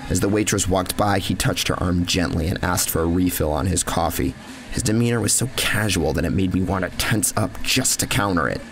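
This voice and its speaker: A man reading a scripted first-person horror story in a measured, dramatic and cynical manner. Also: deep voice. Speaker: narrator. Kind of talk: scripted first-person horror fiction narration with acted dialogue.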